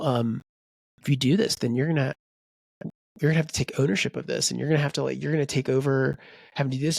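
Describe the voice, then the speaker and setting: A man's voice: a little high, vocal fry, no room sound, studio quality. A man co-hosting a book podcast, rambling in casual chat and quoting people in voices. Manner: rambling, animated, quotes people in character voices. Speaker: podcast co-host.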